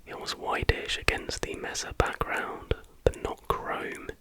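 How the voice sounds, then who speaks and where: A man whispers softly and without hurry in an informal ASMR narration, as the host of the video. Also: unhurried.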